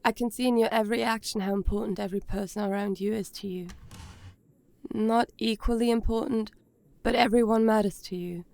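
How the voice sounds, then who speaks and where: A woman acting in an audio drama as a guarded, sluggish young person, speaking earnestly and haltingly. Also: on the high side, fairly even intonation, vocal fry, darkish tone, no room sound.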